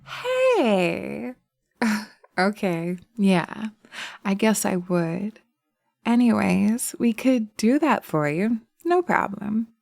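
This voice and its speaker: A woman voicing a sweet, dreamy romantic witch in a roleplay audio drama, cooing gently and dotingly. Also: mid-range voice, sing-song, very slow, creaky, no room sound, studio quality, exaggerated voice. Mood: sweet, amused.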